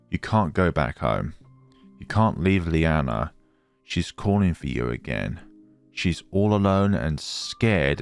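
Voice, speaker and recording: A man's voice: sits low, vocal fry. A man hosting a let's play, reading game text aloud dramatically and reacting. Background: game music.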